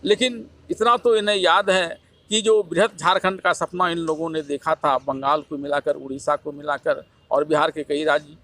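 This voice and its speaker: A man's voice: fairly high pitch, fairly bright tone. A man presenting scripted political news commentary in the manner of a reporter. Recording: clear background noise.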